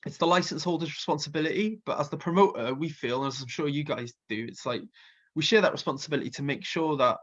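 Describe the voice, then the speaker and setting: A Welsh man with a slight cold talks in a loose, rambling way, full of ums and asides. His voice sits on the higher side for a man. The sound is dull and muffled, like lo-fi call audio. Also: quick pace, fairly bright tone. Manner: chatty, rambling with many fillers. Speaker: festival co-founder and music booker. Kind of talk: casual interview chat.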